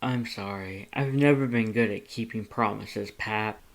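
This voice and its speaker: A man voicing a weary skeleton who is an older brother and deflects in a quiet, mumbled way. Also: on the low side, a little slow. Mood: defensive.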